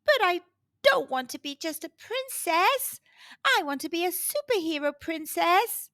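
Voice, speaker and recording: A high, lively young princess acted out by a woman from a children's storybook. She pleads defiantly and emphatically, eager for adventure, with wide swings in her voice. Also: measured pace, no room sound, studio quality, heavily acted. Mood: defiant pleading.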